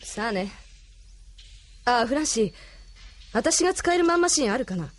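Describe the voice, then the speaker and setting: A woman's voice: a little high, very animated, moderate pace. A woman acting a tough, loyal young female pilot in a radio drama, plain-spoken and tomboyish, with music behind her. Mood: subdued, plain.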